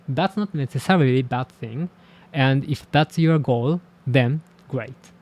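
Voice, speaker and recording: A man's voice: medium pitch, darkish tone. Candid man. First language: Japanese. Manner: candid, self-deprecating, measured. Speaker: language teacher and channel host. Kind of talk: reflective talk to camera about learning languages.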